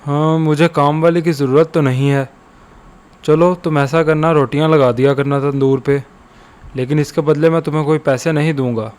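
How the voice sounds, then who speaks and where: A man playing a stingy, gruff roadside eatery owner, bossy and dismissive, in an animated moral story. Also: on the high side, normal speed, close to an everyday voice. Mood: grudging, bossy.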